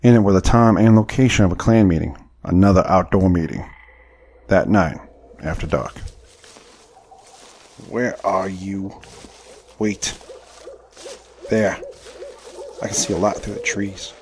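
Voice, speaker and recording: A man's voice: deep voice, a little slow, long pauses, a little mellow, breathy edge, full character voice. An actor playing a proud, indignant Southern crossbowman of the 1870s in an audio drama, with formal, theatrical speech. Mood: tense muttering. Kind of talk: audio drama with first-person narration.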